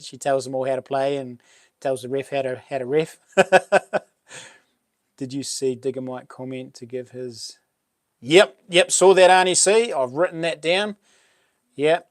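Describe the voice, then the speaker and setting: A middle-aged man with a New Zealand accent and a boomy voice, hosting a relaxed livestream chat, rambling with many ums and restarts as he reads out viewer comments. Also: no room sound, clean studio sound. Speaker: livestream host. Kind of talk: casual live chat reading comments.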